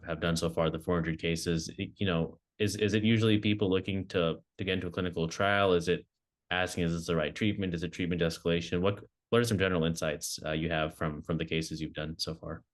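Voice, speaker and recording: Young male interviewer, low voice, rapid and hedging delivery. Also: steady tone. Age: young adult. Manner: quick, hedging, many fillers and restarts. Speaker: interviewer, oncology fellow. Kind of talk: recorded video interview.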